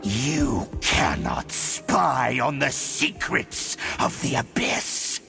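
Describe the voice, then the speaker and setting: An actor playing a cold, menacing male Abyss Herald in a video game, with slow, ominous, theatrical speech. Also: wildly swooping pitch, music in the background, heavily acted. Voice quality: deep gravelly growl.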